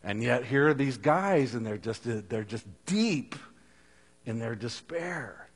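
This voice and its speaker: A man preaching a sermon in earnest, rising to loud emphasis and dropping to a hush. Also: mid-range voice, lively intonation, takes it slowly, breathy edge. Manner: earnest, swings from loud emphasis to near-hushed. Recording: long hall reverb.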